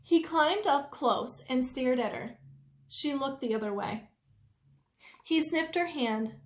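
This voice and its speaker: A woman reading a children's story aloud expressively, with deliberate, drawn-out emphasis. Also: sits high, expressive. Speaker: storytime reader. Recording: roomy indoor echo.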